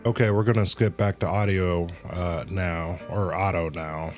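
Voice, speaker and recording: A man's voice: deep voice, fairly even intonation, measured pace. A man livestreaming a game, reacting casually and reading story text aloud. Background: game music.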